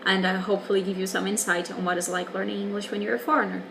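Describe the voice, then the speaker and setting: A woman's voice: medium pitch, level tone, very fast, creaky, background noise. Brazilian-accented young woman explaining frankly. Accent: Brazilian Portuguese. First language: Portuguese. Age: 20s. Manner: candid and wry, explaining patiently. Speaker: English teacher. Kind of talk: casual video reply to a viewer.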